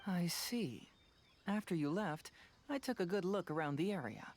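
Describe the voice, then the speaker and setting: A woman voicing a composed young female investigator in a video game, reasoning deliberately step by step over music. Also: lowish voice, animated, darkish tone, slight background noise, close to an everyday voice. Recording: music behind the voice.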